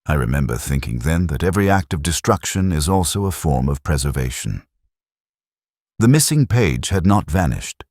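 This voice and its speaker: Male narrator, extremely low pitch, expressive intonation, formal literary phrasing, scripted detective fiction, dry sound. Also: slightly rough, no echo. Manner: measured, formal, literary delivery.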